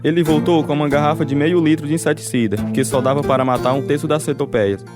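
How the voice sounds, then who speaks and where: A man's voice: on the high side, steady tone, fast talker, natural voice. Devout persecuted prisoner played by a man, guitar behind. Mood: grave, suffering. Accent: Brazilian. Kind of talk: dramatized radio testimony narration.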